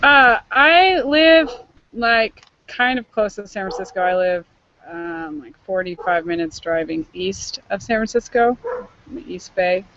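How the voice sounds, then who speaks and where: A woman's voice: mid-range voice, expressive, a little slow, a crowd in the background. A young woman from Northern California, an intern leading an online meeting in casual chat, friendly and full of fillers. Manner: chatty, filler-heavy, friendly. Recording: muffled online call audio.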